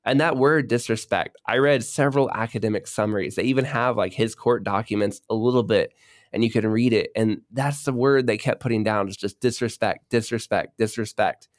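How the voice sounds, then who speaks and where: A man co-hosting a podcast, telling a history story in a casual, lively way and voicing the people in it. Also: lowish voice, a little fast, dry recording, clean studio sound. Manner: animated retelling with voiced quotes and fillers.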